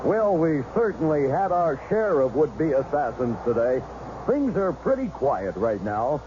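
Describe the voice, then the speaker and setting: An actor playing an excitable live inauguration commentator with a dramatic sportscaster delivery in a satirical radio play. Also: male voice, on the high side, a little slow. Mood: solemn, reporting. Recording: muffled radio sound, heavy street noise.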